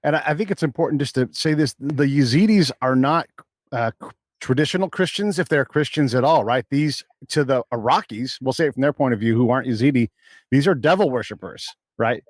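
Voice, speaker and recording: A man's voice: mid-range voice, a little fast, no echo, studio quality. A man hosting a podcast interview, chatty and joking, with many restarts. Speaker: podcast host. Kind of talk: casual interview talk.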